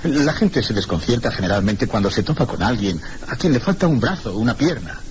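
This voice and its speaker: A man acting a melancholy, reserved guest in a radio mystery drama, speaking Castilian Spanish in a measured, literary, courteous manner. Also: mid-range voice, sing-song, lightly acted. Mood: polite, reserved. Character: melancholy, reserved one-armed male guest narrating his tale. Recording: noisy old radio drama recording.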